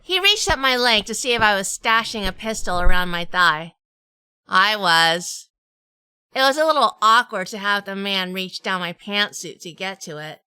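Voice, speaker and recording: A woman's voice: medium pitch, expressive, moderate pace, bright, forceful tone, smooth, no echo, low-quality recording. A woman playing a sassy, tough, wisecracking private eye in a noir radio drama. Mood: wry, narrating. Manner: wisecracking, feisty. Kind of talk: noir scripted narration with acted dialogue.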